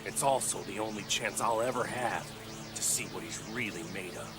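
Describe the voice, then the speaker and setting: An actor voicing a grieving, furious young male martial artist in an anime dub, shifting from shouts to quiet solemn vows. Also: normal speed, close to an everyday voice. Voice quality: intense, shouting then low and solemn. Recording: score music loud behind.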